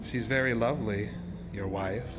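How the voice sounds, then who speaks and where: An actor playing a suave, sinister carnival ride builder in a horror audio drama, speaking formally and with smug, unhurried politeness. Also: male voice, on the low side, heavy background noise, natural voice. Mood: smooth, ominous. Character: suave, sinister male magician's mechanic and carnival ride artist.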